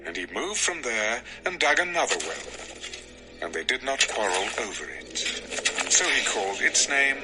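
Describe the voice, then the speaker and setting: A man narrating a dramatized scripture recording in a measured, expressive storytelling manner. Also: mid-range voice, poor audio. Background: music.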